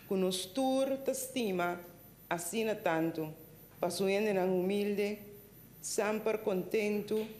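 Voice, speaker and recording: A woman giving a formal ceremonial speech as a council member, slow, measured and earnest. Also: mid-range voice, darkish tone. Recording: echoey hall.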